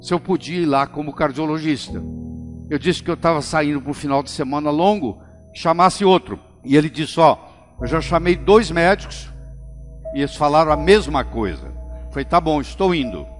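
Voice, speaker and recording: An older Brazilian man delivers a motivational talk, stressing key phrases by slowing down on them. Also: fairly high pitch, lively intonation. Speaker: motivational speaker. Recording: long hall reverb with faint music. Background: faint background music.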